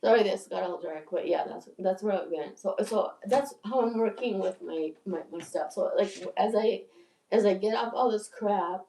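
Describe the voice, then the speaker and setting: A woman's voice: medium pitch, normal speed, vocal fry, dark tone. A woman in her sixties rambling through a candid, profane monologue in a livestream, with stammering restarts. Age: 60s. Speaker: video creator. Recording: echoey room, distant from mic.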